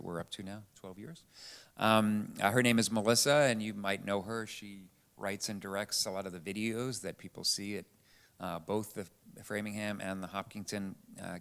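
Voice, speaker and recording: A middle-aged man casually introducing himself to a live audience, halting and wry as he goes. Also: fairly low pitch, creaky, a bit bright, clean tone. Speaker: panelist. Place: church panel before an audience. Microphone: live sound microphone.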